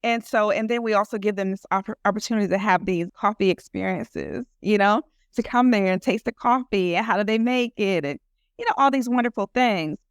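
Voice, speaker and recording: A woman's voice: medium pitch, fairly quick, smooth, no room sound, clean studio sound. A woman guest in a casual interview who talks earnestly about her work, rambling and filling her speech with "you know". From United States. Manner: earnest, rambling with many fillers. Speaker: guest entrepreneur.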